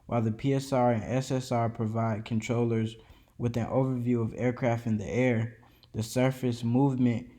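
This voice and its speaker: A man's voice: mid-range voice, mellow. A man reading an educational presentation aloud from a script, with occasional slips and restarts. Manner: reads steadily from a script, with small slips and restarts. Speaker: student presenter. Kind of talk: read-aloud educational narration.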